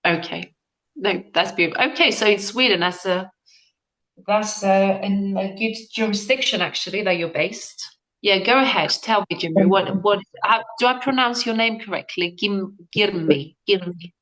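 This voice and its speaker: A woman leading an online workshop over a video call, halting and full of fillers as she talks. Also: mid-range voice, fairly bright tone, low-quality recording. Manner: halting, with frequent fillers and restarts. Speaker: workshop speaker.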